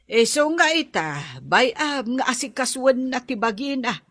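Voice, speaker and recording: Scolding older mother, unforgiving, Filipino accent, radio drama, slow pacing, close dry sound. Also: high-pitched, animated, fairly bright tone, dry recording, exaggerated voice. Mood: bitter, scolding.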